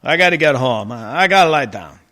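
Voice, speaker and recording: A man acting a stressed, weary mobster father in a comedic radio play, halting and exasperated. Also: medium pitch, animated, pushed and bright.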